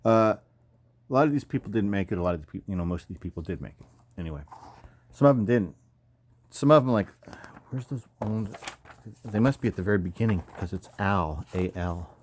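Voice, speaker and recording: Low-voiced American man, casual and rambling. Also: expressive, a little fast, pauses often, darkish tone. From California, United States. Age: 50s. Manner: rambling, informal, slangy. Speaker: army veteran, former paratrooper and combat medic. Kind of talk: casual off-the-cuff commentary over photos.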